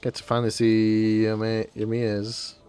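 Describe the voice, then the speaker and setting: A woman streams a game with casual, rambling commentary. Her voice is exceptionally low for a woman and her talk is informal. Faint game music sits behind her. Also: monotone, measured pace, mellow. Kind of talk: casual livestream gameplay commentary.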